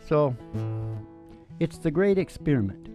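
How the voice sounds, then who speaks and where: A middle-aged man hosting with rambling, improvised storytelling in a folksy, halting way, speaking into a close stand microphone. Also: fairly high pitch, big pitch swings, mellow, faint music behind. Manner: folksy, halting, with trailing sentences. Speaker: podcast host and singing DJ. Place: small indoor stage with curtain backdrop.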